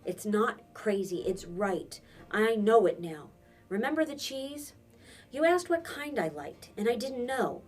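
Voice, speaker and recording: A woman's voice: fairly high pitch, expressive, a little slow, far from the mic, faint music behind, pitched above the performer's own voice. A woman reading a storybook and voicing an earnest, stubborn boy, speaking with insistent emphasis.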